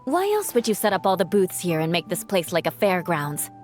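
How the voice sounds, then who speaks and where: A woman's voice: on the low side, sing-song, brisk, soft background music. A woman playing a poised, cool, teasing young noblewoman in an anime game, with a composed delivery. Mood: knowing, teasing. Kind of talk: scripted anime game dialogue.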